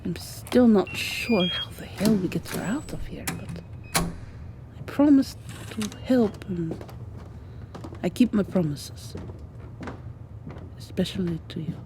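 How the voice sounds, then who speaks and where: Woman acting a hushed, slow, guilt-ridden vampire-tale rescuer. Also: medium pitch, lively intonation, background noise. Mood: tense, determined. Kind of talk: ASMR roleplay drama.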